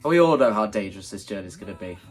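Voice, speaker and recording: A man's voice: on the low side, expressive, rapid-fire, soft background music. A man with a slightly congested, hay-fever voice, talking informally and self-deprecatingly as he explains his creative plans in a casual vlog. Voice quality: slightly stuffy, congested nose. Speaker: video creator presenting a stage play concept.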